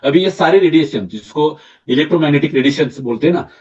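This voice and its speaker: Distant, hoarse man giving product training. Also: a little high, darkish tone. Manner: explains slide by slide, asks "right?" often; mixes English terms into Hindi, halting restarts. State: slightly hoarse throat. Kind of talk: sales training presentation. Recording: distant mic, dull lo-fi sound.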